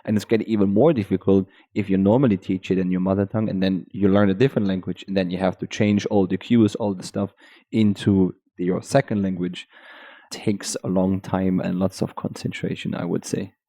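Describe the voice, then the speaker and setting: A man with a German accent who speaks German natively, talking as a guest Pilates teacher in a halting way with restarts. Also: deep voice, fairly even intonation, dark tone. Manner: halting, restarts while searching for words. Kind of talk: casual podcast conversation.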